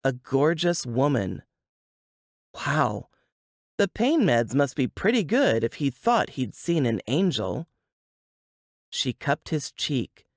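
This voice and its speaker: Female audiobook narrator, unhurried and animated, scripted fiction read in a dry space. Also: very deep voice, no echo.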